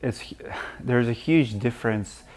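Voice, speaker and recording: A man's voice: mid-range voice, measured pace, a little mellow. A man from Russia, hosting a casual interview and asking plain, direct questions in short phrases. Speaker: interview host, roofer.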